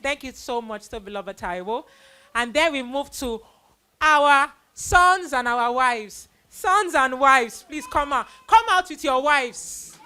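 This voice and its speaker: A middle-aged woman hosting children on stage, giving an informal, animated vote of thanks and speaking to an audience. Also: high voice, slow, clean tone. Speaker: host presenting child performers of a church play.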